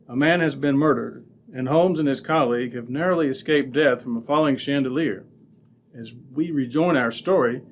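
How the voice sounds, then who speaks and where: A man's voice: mid-range voice, slight background noise. An American man announcing in 1940s radio style, with folksy, emphatic salesmanship.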